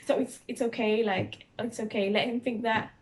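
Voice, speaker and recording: A young woman from Mauritius chatting casually with her husband, teasing and animated as she tells their story. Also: fairly high pitch, moderate pace. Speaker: vlogger. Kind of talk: casual chat.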